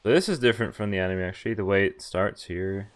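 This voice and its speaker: A man streaming a visual novel, reading its text aloud and chatting in a halting, thinking-aloud way. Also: low voice.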